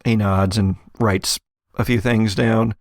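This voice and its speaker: Creaky-voiced man narrating haltingly. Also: on the low side, fairly even intonation, a little mellow, no echo, clean studio sound. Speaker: game master. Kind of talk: improvised narration of an actual-play audio drama.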